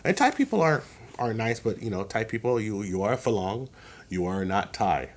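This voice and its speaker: A man's voice: lowish voice, expressive, normal speed, slight background noise. A man co-hosting a casual podcast reaction chat, talking emphatically and repeating phrases as he builds a point. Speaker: podcast co-host.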